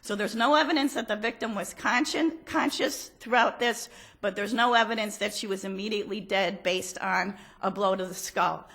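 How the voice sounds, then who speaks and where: Hoarse woman prosecutor arguing in court. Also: medium pitch, a bit bright. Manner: measured, factual, firm under questioning. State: hoarse from allergies. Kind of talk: appellate oral argument.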